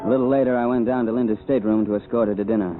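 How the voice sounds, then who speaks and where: An actor playing a terse, wry adventurer-investigator in a 1940s radio drama, with a dry, understated delivery. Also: male voice, mid-range voice, fairly even intonation, rapid-fire, lightly acted. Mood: grave narration. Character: terse, wry male adventurer-investigator, world-weary hero. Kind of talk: 1940s radio drama acting and first-person narration. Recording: tinny old radio broadcast, music behind.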